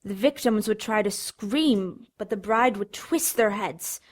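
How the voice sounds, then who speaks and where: A woman's voice: medium pitch, measured pace, natural voice. An actress playing a teasing teenage girl in a radio drama, theatrical and taunting. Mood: spooky, relishing. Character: teasing teenage girl telling a scary story. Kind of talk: radio drama, spooky storytelling.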